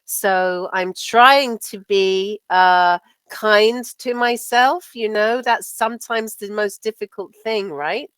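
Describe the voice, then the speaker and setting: A woman teaching English in a casual, chatty conversation, slightly croaky from a sore throat and often checking with tag questions. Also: medium pitch, slow, few pauses, fairly bright tone, dry recording. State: sore throat, recovering from flu. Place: video call from home.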